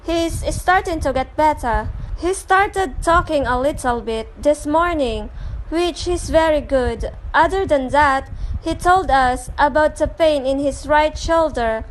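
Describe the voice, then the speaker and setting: A woman with a high voice performs a scripted comic dub. Her delivery is slow and dramatic, and there is light background noise on the recording. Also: low-quality recording. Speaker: fan comic dubber.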